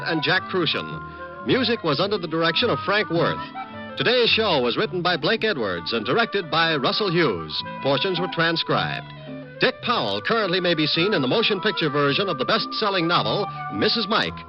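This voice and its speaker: American male announcer, high and lively delivery, formal old-time radio style, music behind, dull recording. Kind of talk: scripted 1950s radio announcing.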